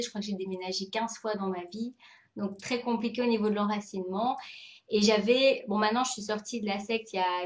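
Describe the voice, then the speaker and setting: A woman's voice: medium pitch, echoey room. A French woman in her forties, a guest on a video-call interview, telling her story openly with many fillers. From France. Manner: open, thoughtful, with many fillers. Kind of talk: personal life story in conversation.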